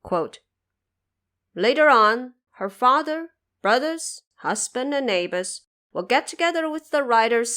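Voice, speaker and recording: A woman delivering scripted podcast commentary as host, in an expressive, pointed and rhetorical manner. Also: mid-range voice. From Cantonese-speaking region.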